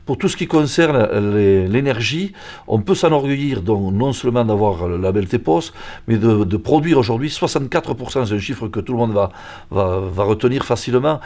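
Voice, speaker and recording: A man speaking as a local official, presenting public services in an explanatory way, measured and hesitant as he searches for words. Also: mid-range voice, animated, a little mellow. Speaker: local official in charge of environment. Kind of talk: semi-prepared explanatory presentation.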